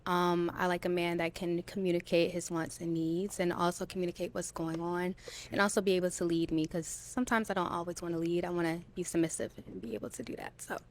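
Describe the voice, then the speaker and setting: A woman in her twenties with a somewhat low voice answers on a dating show. She explains herself calmly, in long joined phrases. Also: fast. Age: 20s.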